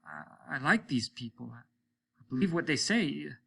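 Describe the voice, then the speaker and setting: A man's voice: fairly high pitch, lively intonation, a little slow, creaky, fairly bright tone, breathy edge, voice pushed up, heavily acted. A man acting an impassioned, defiant young-hearted crewman. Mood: quietly upset. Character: earnest, defiant male crewman standing up to his captain. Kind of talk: dramatic audiobook reading.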